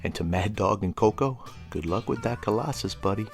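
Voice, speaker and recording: A man's voice: lowish voice, a little fast, creaky, faint music behind. A middle-aged man hosting a tabletop gaming explainer, reading prepared lore in a wry, informal way with casual asides. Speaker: host of a tabletop gaming channel.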